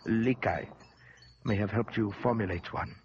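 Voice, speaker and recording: An actor playing a fat, sinister collector who talks in a florid, mocking and formal way, in 1940s radio acting, on a lo-fi old recording. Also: male voice, on the low side, unhurried, slight background noise, lightly acted. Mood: silky, mocking. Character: sinister suave fat collector, man, middle-aged.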